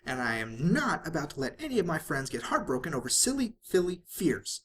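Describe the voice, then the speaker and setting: A storybook reader voicing a hyperactive, bubbly young female cartoon pony, excitable, playful and coaxing. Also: mid-range voice, a little fast, slight background noise, pitched above the performer's own voice. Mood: pleading, insistent.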